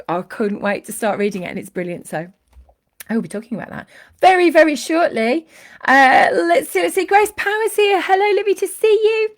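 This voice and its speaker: A middle-aged woman with a slightly croaky voice, effusively chatting on her live book show and greeting viewers. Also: high voice. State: a little croaky from a cold. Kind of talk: casual live-stream chat.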